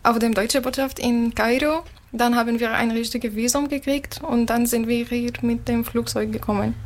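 A woman from Syria, answering an interviewer's questions in a calm, plain manner. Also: on the high side, normal speed, a little hiss or hum. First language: Arabic. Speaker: interviewee, musician.

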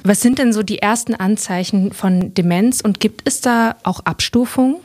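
A woman's voice: mid-range voice, normal speed, darkish tone. A woman interviewer with a mid-range voice asks short, direct questions. Her voice sounds dry and close, with no room echo around it.

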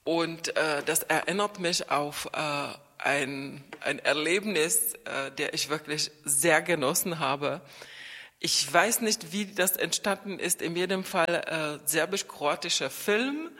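A woman whose first language is Czech, talking slowly and pausing often with fillers as she thinks aloud. Her voice sits a bit low, and a long reverb trails behind her in the room. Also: pushed and bright. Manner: thoughtful, hesitant with frequent fillers. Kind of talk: casual conversation.

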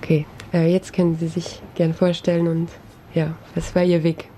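A woman interpreting back and forth on a radio talk show, halting with frequent fillers and restarts. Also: lowish voice, monotone, dark tone, slight background noise, low-quality recording.

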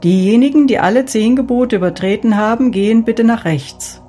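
Lady doing old saint peter in an audiobook, bossy gatekeeper voice, loud and a bit high, soft music under it. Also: lightly acted. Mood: announcing. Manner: loud, brisk announcing. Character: Saint Peter, bustling older male gatekeeper.

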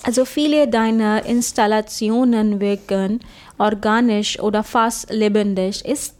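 A woman's voice: medium pitch, fairly even intonation, measured pace, darkish tone. A woman interviewer asking questions in a conversational style.

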